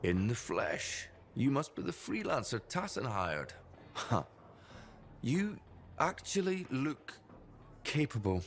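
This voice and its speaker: An actor playing a cynical, disgruntled agent in a video game cinematic, wry and blunt in manner. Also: male voice, mid-range voice, very animated, takes it slowly. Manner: sardonic, blunt.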